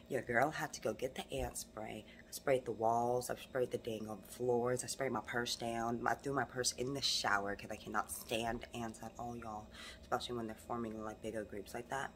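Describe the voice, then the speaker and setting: Low-voiced young woman vlogging at home. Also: a little hiss or hum. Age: young adult. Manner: animated, emphatic motivational talk. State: hoarse from rehearsals. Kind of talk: casual vlog talk to camera. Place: home living room, then car. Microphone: phone camera held close.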